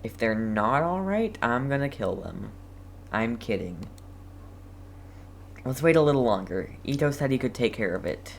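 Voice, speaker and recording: Very low-voiced woman streaming a game. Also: big pitch swings, unhurried, vocal fry, pauses often, a little hiss or hum. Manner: informal, slangy reactions. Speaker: streamer playing and reacting to a game. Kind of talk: casual playthrough commentary.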